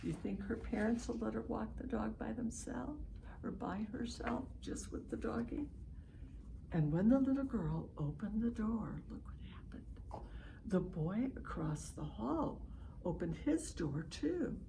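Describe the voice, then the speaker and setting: A woman reads a picture book aloud to children with lively, swinging intonation and an unhurried pace. She lingers on phrases and encourages young listeners with little questions. There is clear background noise in the home recording. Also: on the high side. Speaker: read-aloud host for children. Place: at home, online session.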